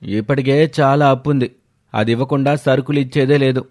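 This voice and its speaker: A man's voice: mid-range voice, steady tone, brisk, darkish tone, close to an everyday voice. A man voicing a blunt grocery shopkeeper in a story, firm and dismissive. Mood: refusing.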